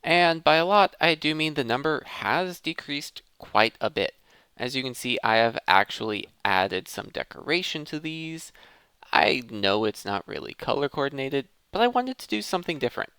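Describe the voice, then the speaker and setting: Animated man narrating gameplay, creaky edge. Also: mid-range voice, normal speed, no room sound. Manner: conversational, thinking aloud. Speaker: gaming video host. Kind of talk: casual gameplay commentary on Minecraft.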